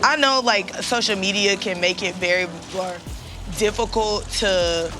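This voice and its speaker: A woman with a deep voice speaking in a laid-back, slangy way in a group chat. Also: measured pace, music in the background. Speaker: panelist.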